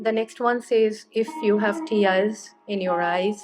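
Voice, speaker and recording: A woman speaking in a halting, reflective way, giving a personal and informal tribute. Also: mid-range voice, flat delivery, over music. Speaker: singer introducing a memorial song. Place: community hall memorial service.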